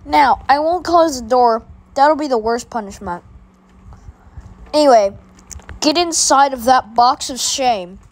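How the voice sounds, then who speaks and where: A woman's voice: sits high, unhurried, long pauses. A woman voicing a bossy older boy who gloatingly announces punishments in a homemade skit.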